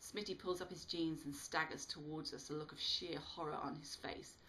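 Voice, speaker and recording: A woman with a slightly low-pitched voice performs as an author reading her own work. Her storytelling is expressive and dramatic, shaped for listeners following a narrative. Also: normal speed, a little hiss or hum, rough recording. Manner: animated, dramatic delivery. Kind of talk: expressive storytelling read-aloud.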